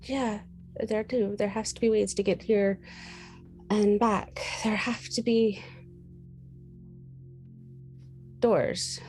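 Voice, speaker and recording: A woman's voice: mid-range voice, fairly even intonation, slow pace, darkish tone, soft background music, lightly acted. A woman playing a thoughtful, subdued and uncertain sister in a horror tabletop roleplay. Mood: earnest.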